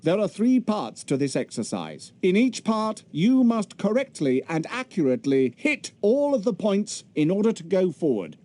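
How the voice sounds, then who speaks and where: A man's voice: sits high, big pitch swings, moderate pace, few pauses, warm tone. An actor playing a vain, pompous male wizard professor giving instructions in a video game tutorial. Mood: self-satisfied, instructing.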